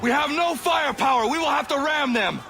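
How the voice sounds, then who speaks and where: An actor playing a determined, grave young ship captain in a video game, giving terse, formal commands. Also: male voice, very high-pitched, fairly quick, creaky. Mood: urgent, resolute.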